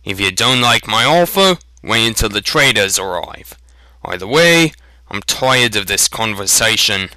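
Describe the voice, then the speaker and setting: A man voicing a surly, quick-tempered village butcher in a storybook reading, sneering with exaggerated patience or shouting. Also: on the low side, lively intonation, dry recording, heavily acted, poor audio. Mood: irritated, dismissive.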